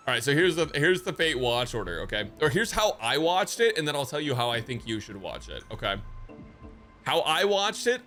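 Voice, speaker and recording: A man with a bright, forward voice reacts to a game on stream. He rambles casually to his chat in slangy, sweary language, with music playing underneath. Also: medium pitch. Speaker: livestreamer.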